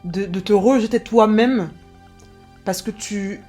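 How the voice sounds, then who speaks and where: Woman interviewer, soft-spoken and gentle, mellow tone. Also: mid-range voice, slow, soft background music. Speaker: host and interviewer. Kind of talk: interview questions.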